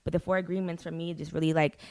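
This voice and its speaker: A woman's voice: on the low side, level tone, fast, darkish tone, dry recording, studio quality. A young woman, a singer, chatting casually as a guest, laid-back and full of "like" fillers and swearing. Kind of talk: casual interview chat.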